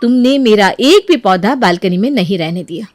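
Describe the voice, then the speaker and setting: A woman's voice: medium pitch, lively intonation, normal speed, dry recording, clean studio sound, lightly acted. A woman voicing a resentful elder sister-in-law, bitter and scheming, in a cartoon family story. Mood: taunting, bitter. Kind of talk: animated family story dialogue.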